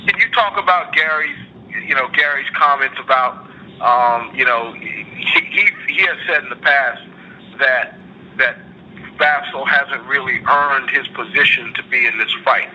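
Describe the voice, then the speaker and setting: A very bright, forceful male voice comes through a dull telephone connection with faint noise. He is a reporter on a conference call. His questions are wordy and halting. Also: medium pitch. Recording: muffled phone line, faint noise.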